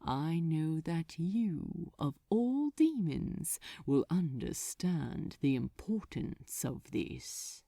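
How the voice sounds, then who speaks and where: A woman voicing a commanding male demon lord in an audiobook, solemn and grandiose, with a hissing, softly alluring delivery. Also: low-pitched, big pitch swings, extremely slow, gravelly, hardly any pauses, no room sound, studio quality, heavily acted.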